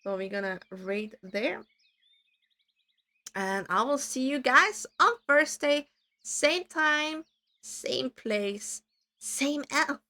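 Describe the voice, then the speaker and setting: Bright-voiced woman streamer, going hoarse. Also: high voice, lively intonation, slow pace, pauses often. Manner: chatty, self-deprecating, rambling. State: hoarse from talking too much. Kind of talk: casual stream chat to viewers.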